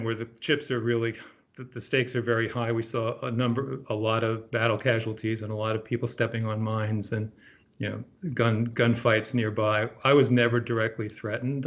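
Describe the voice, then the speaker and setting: A middle-aged man from Puerto Rico, a physician being interviewed, speaking in an earnest, thoughtful way with frequent pauses and restarts. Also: mid-range voice, muffled recording. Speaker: guest, emergency physician. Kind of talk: reflective interview conversation.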